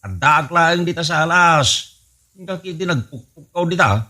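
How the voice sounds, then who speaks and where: A man's voice: on the high side, animated, moderate pace, bright, forceful tone. A man playing an embarrassed husband in an Ilocano radio drama, scolding his wife. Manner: scolding, flustered. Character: embarrassed husband Bogs, middle-aged.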